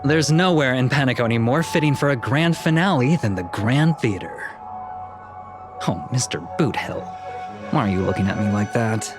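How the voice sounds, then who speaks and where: A man's voice: mid-range voice, expressive, normal speed, over music, lightly acted. A man playing a suave, playful, calculating young businessman in a video game, speaking with polished, theatrical eloquence. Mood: probing, sly. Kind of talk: dubbed anime game dialogue.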